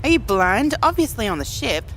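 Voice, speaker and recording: A woman's voice: a little high, big pitch swings, normal speed, fairly bright tone, slight background noise. A woman playing a headstrong, impatient young survivor in an audio drama, curt and sarcastic. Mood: brash. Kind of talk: conversational audio drama acting.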